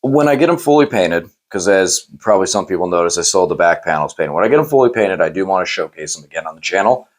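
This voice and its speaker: A man hosting a casual post-game chat, hoarse and losing his voice, talking in rambling, self-correcting runs and teasing his co-host. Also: deep voice, lively intonation, fast, hardly any pauses. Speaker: channel host.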